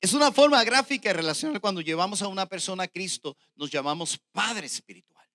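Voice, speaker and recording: A male pastor with a Puerto Rican accent delivers an emphatic Bible sermon. His voice sits high for a man and swings widely in pitch as he moves from bold declarations to quieter asides. The sound is close and dry, and he speaks straight to his listeners. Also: expressive, fast, no room sound. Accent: Puerto Rican Spanish. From Puerto Rico. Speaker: pastor preaching. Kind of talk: sermon, verse-by-verse Bible teaching.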